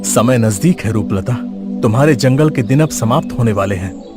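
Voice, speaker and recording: A man's voice: medium pitch, moderate pace, music in the background, close to an everyday voice. A man voicing a wise, mysterious hermit sage in a cartoon story, speaking solemnly. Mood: solemn, cryptic. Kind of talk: Hindi cartoon moral story voice acting.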